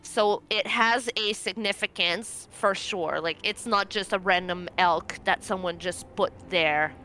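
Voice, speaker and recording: A woman's voice: mid-range voice, unhurried, pushed and bright, a little hiss or hum. A woman talking casually as a player in a tabletop roleplaying game.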